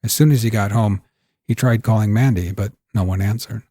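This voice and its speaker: A man with a low, slightly husky voice narrates fiction in a dramatic storytelling manner. The recording is close and dry, with faint music behind him. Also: fairly quick, mellow, no echo. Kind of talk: scripted audiobook-style narration.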